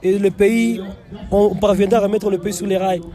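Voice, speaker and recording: A man's voice: sits high, fairly even intonation, normal speed. A young man from Goma with a Congolese French accent, an NGO director answering interview questions earnestly and repeating phrases for emphasis, outdoors on location. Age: young adult. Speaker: NGO director and youth political official. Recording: loud crowd noise behind.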